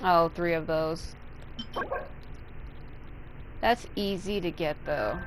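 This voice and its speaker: A woman's voice: lowish voice, takes it slowly, noisy background. A woman giving casual, rambling gameplay commentary as a streamer, sounding a bit tired and unwell. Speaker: gaming streamer. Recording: game music behind the voice. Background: video game music.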